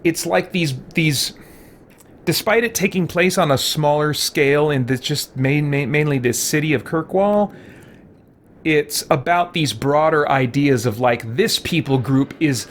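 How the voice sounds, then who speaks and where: Higher-voiced man thinking aloud over gameplay. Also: unhurried, slight background noise. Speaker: let's play host. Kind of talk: casual gameplay commentary, live streaming.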